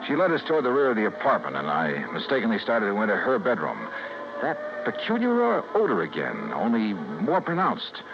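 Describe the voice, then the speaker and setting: A muffled, hissy vintage radio recording of an American hard-boiled male investigator. His lowish voice swings widely in pitch, with emphatic, clipped delivery in classic detective drama style. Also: wildly swooping pitch, moderate pace. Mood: wry narration. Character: hard-boiled male insurance investigator, anguished and indignant as a suspect. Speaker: lead actor and narrator. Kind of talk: golden-age American radio drama acting.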